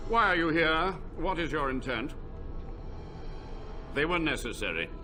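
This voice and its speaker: An aged, arrogant blood mage. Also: male voice, on the high side, lively intonation, music in the background. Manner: cold, arrogant, measured. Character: aged scheming male blood mage scholar.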